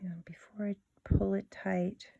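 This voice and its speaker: A woman hosting a casual step-by-step craft tutorial in an informal, unhurried way, thinking aloud as she works. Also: medium pitch, level tone, gravelly, warm tone, no room sound, muffled recording.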